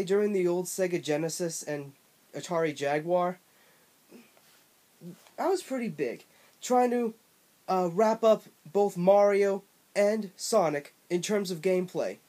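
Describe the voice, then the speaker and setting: A man's voice: high voice, mellow. A man voicing an obnoxious, boastful male cartoon bobcat, a washed-up video game mascot with a grating voice. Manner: boastful and chatty.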